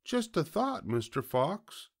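Man acting out a little storybook piglet, high voice, polite, innocent-sounding, sly, swooping intonation. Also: sing-song, slow, slightly rough, full character voice. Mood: sweetly wheedling. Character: small, polite, wily young male piglet. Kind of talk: children's storybook read-aloud.

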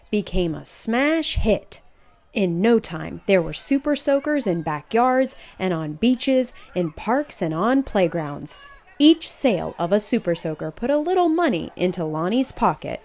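A woman reading a children's picture book aloud in an expressive, emphatic storytelling manner. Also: on the low side.

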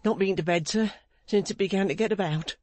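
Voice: mid-range voice, brisk, darkish tone, no room sound, voice pushed up, close to an everyday voice. A reader voicing a frantic, remorseful man in an audiobook, exclaiming and pleading deferentially as he punishes himself. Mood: despairing. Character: frantic remorseful man, agitated, self-punishing, begging for reproach. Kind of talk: audiobook character acting.

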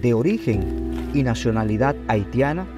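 Clear background music runs under a man's voice of middling pitch. He narrates like a documentary presenter, reading a script formally. His delivery is measured, set out in short phrases. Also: expressive.

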